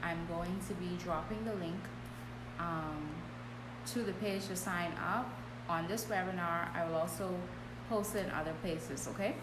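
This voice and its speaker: A woman's voice: lowish voice, unhurried. A woman hosting a live business coaching stream, talking casually and often checking in with "okay?". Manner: chatty, frequent "um" and "okay?" check-ins. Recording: echoey room with clear background hum.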